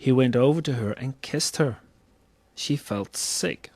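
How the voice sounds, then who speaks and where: A male storyteller reads scripted narration in a medium-pitched voice. The recording is close and dry, with no room sound. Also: measured pace, a little mellow, no echo.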